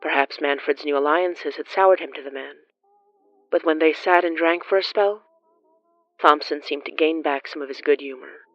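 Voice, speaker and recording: A narrator reading scripted horror fiction in a dramatic, deliberate storytelling manner. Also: mid-range voice, fairly bright tone, no echo, muffled recording.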